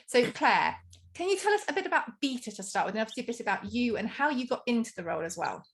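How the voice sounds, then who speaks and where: A woman's voice: fairly high pitch, big pitch swings, fast talker, vocal fry. A woman hosting a podcast in a chatty, enthusiastic and self-deprecating way. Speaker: podcast host. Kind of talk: conversational podcast interview.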